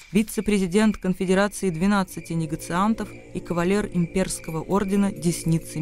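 A man's voice: sits high, darkish tone. A man reading scripted literary narration for a radio drama.

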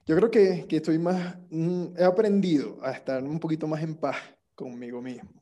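A young Venezuelan man with a Venezuelan accent, chatting casually about life abroad in a relaxed, slangy way. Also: on the high side, moderate pace. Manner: relaxed storytelling with slang and restarts. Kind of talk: casual chat.

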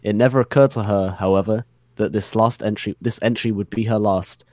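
A man reads fan fiction aloud as a narrator, in a fairly low voice. The sound is close and dry, and the delivery is mock-solemn, like a spoof dramatic reading. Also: steady tone, brisk, dark tone, dry recording.

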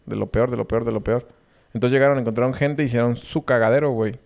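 Gravelly young Mexican guy, laid-back. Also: medium pitch, fairly even intonation, fast talker, darkish tone. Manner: slangy, laid-back, profane banter. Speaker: podcast co-host. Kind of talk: casual chat.

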